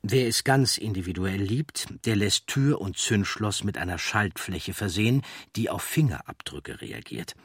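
A man reading scripted documentary narration in a measured, explanatory manner. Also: lowish voice, lively intonation, breathy edge, dry recording, studio quality. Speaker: audiobook narrator.

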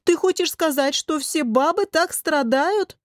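A melodramatic young man, acted by a woman narrator, whining and hot-tempered in a comic fantasy audiobook. The voice is pushed very high and drags out its suffering complaints, recorded dry. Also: no room sound. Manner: wailing, drawn-out complaining.

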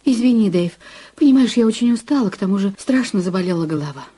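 A woman playing a weary, temperamental film star in her thirties in a Russian audio drama, with a sardonic, sharp-tongued manner. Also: mid-range voice, lively intonation, fast, a little hiss or hum, lightly acted. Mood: tired, apologetic.

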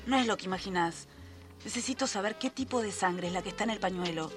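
A woman's voice: medium pitch, fast, music in the background, natural voice. Actress as coaxing, secretive Argentine woman sleuth. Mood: urgent coaxing. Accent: Argentine, Rioplatense. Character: discreet, determined, coaxing amateur sleuth woman. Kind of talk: radio drama acting.

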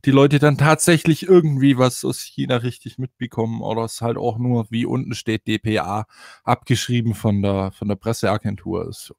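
A man's voice: mid-range voice, moderate pace, gravelly, darkish tone, dry recording, clean studio sound. A man co-hosting a casual podcast discussion. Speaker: podcast co-host.

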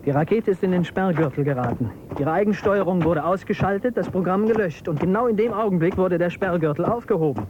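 A man acting a self-assured, guarded technician in a radio drama. He has a fairly high male voice with a casual, confident manner, muffled amid clear vehicle noise. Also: quick pace, hardly any pauses, close to an everyday voice. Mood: confident, dismissive.

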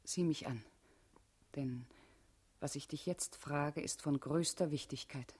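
An actress playing a guarded, urgent female party comrade in a German radio play, speaking gravely and deliberately with a husky, intimate voice. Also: low voice, a little mellow, heavily acted. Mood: grave, probing.

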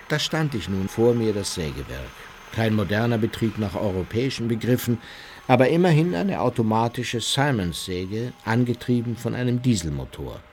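Male actor as former Nazi emigrant memoirist, radio docudrama. Low voice, sober and measured, slight ambient noise. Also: natural voice.

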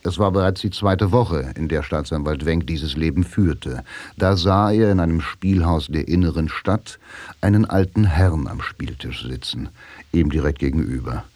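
A man's voice: rumbling low, normal speed, darkish tone, no echo. A man delivering scripted narration for a radio play. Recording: clear music behind the voice.

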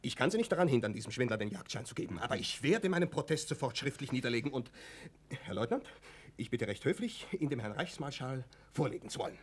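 An actor playing an officious, indignant Nazi-era doctor in a German radio play, formal, clipped and sarcastic. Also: male voice, medium pitch, lively intonation, quick pace, a little hiss or hum, natural voice.

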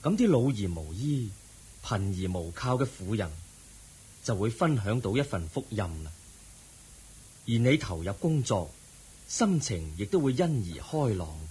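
A compassionate buddha in a radio play, hong kong cantonese, low husky voice, earnest and instructive. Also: male voice, very animated, measured pace, long pauses, dark tone, heavily acted. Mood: consoling, instructive. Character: the Buddha, a serene, compassionate male spiritual teacher. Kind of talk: Buddhist radio drama acting.

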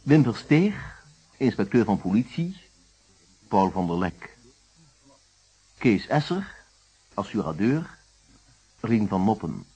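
A man with a Netherlands Dutch accent announcing radio drama credits in a formal, deliberate scripted style. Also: on the low side, very animated, mellow, husky. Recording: dull old radio broadcast recording.

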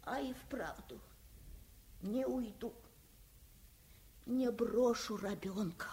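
An actress playing a grumbling but devoted, simple-hearted nanny with folksy speech in a Soviet radio play. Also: female voice, fairly high pitch, lively intonation, slow, warm tone. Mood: softening.

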